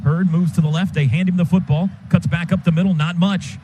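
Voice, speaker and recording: High-voiced man calling football on radio. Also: steady tone. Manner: rapid clipped calls that surge up on big plays. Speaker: play-by-play announcer. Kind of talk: live radio football commentary. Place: stadium radio booth. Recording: noisy live stadium broadcast.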